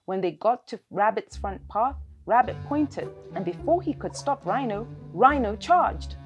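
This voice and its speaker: A woman storyteller narrating a children's tale in an expressive, scripted read. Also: fairly high pitch, unhurried, faint music behind.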